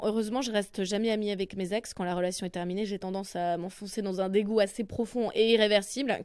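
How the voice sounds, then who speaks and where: A young woman from France with a Parisian accent, hosting a comedy podcast with a self-mocking, animated delivery and crude asides. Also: mid-range voice, no room sound, clean studio sound. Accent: Parisian French. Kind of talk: comic monologue podcast.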